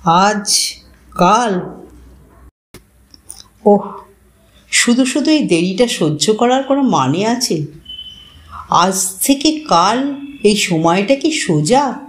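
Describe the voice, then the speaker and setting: A woman's voice: mid-range voice, drawn-out words, long pauses. A woman voicing an eager, bashful young male first-time novelist in an audio story, humble and hesitant. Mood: inwardly excited. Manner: humble, hesitant, inwardly excitable.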